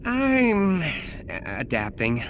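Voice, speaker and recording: Boyish, animated young superhero. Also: male voice, on the high side, drawn-out words, full character voice. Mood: awkward. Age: young adult. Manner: boyish, nervous, hesitant. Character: anxious, earnest young superhero with a double life. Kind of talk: video game cutscene acting. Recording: clear background noise and ambience.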